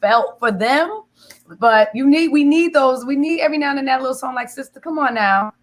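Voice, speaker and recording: A woman in her forties with a smoky, raspy voice, a singer-songwriter chatting casually in an interview and telling animated stories. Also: high-pitched, brisk. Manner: animated storyteller, laughs easily, acts out quoted friends.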